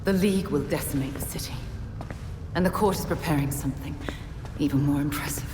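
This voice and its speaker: A woman's voice: low-pitched, fairly quick, natural voice. A woman acting a composed, ominous assassin leader in a video game cutscene. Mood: cool, ominous. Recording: echoey space with clear noise.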